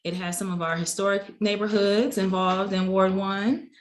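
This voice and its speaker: A middle-aged woman, a city council member, answering interview questions in a measured, explanatory way. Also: mid-range voice, steady tone. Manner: measured, explanatory, uses fillers.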